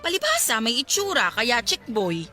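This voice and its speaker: A woman's voice: fairly high pitch, wildly swooping pitch, moderate pace, bright, forceful tone, faint music behind, full character voice. A woman acting a blunt, judgmental, gossiping young cousin in an audio drama. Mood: gossipy, cautioning. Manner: blunt, argumentative. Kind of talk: acted Tagalog audio drama dialogue.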